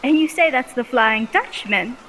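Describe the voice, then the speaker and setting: A woman as a high-voiced, curious young niece. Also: expressive, moderate pace, fairly bright tone, slight background noise. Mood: doubting. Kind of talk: old-time radio drama acting.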